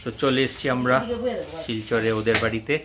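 A man's voice: mid-range voice, little pitch movement, fairly quick. A man in his thirties from Northeast India, telling a casual travel vlog straight into a phone camera as he goes. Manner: chatty, narrating each step of the trip. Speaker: travel vlogger. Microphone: phone camera held at arm's length. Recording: loud music playing under the voice.

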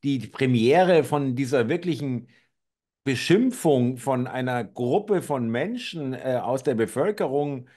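A man's voice: medium pitch, expressive, a little slow, a little mellow. A middle-aged man in casual conversation, speaking hesitantly with many filler sounds, heard over a video call webcam. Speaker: talk show co-host.

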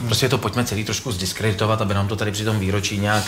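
A man leading a scripted satirical comedy sketch, explaining the plan briskly to his colleagues. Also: fairly low pitch, flat delivery, a bit bright, slight background noise. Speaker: think-tank member in a comedy sketch. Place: small indoor set with carved wooden wall.

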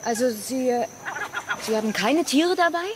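A woman's voice: high voice, expressive, moderate pace. Curt, wary female guard. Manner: terse, commanding. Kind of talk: naturalistic radio drama dialogue. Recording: very noisy outdoor sound scene.

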